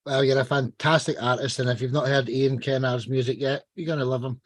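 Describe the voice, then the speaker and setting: A man's voice: medium pitch, fairly even intonation, brisk, no room sound, clean studio sound. A man hosting a podcast, introducing guests in an upbeat, friendly way. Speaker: podcast host.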